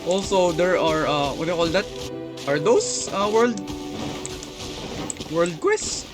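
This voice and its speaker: High-voiced man casually commentating a game. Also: lively intonation. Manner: halting, thinking aloud. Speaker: game streamer. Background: game music.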